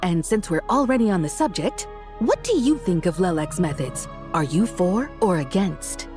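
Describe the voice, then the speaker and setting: A woman playing an excitable female news reporter in a video game, commenting theatrically with rhetorical questions to the audience. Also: lowish voice, moderate pace, soft background music, close to an everyday voice. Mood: dramatic, probing.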